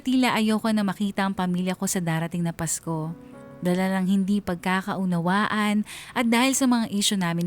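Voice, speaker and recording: A woman's voice: mid-range voice, a little mellow. A woman giving scripted, first-person narration for a radio drama in a sorrowful, reflective manner. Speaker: radio drama narrator reading a listener's letter.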